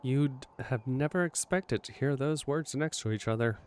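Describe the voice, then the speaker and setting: A man's voice: mid-range voice, moderate pace, darkish tone, slight background noise. A man livestreaming a game, reading story text aloud and adding casual comments.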